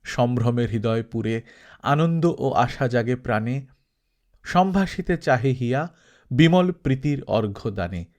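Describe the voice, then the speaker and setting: A man's voice: medium pitch, expressive, unhurried, a little mellow, natural voice. A man voicing a shy, earnest teenage college student in an audiobook, speaking politely and with hesitation. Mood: earnest, solemn. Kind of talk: audiobook character reading.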